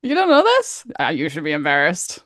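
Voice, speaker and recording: A woman's voice: deep voice, wildly swooping pitch, fairly quick, dry recording, studio quality. A woman co-hosting a podcast, chatting casually in English as she runs a quiz, teasing and informal. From Norway. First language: Norwegian. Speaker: podcast co-host.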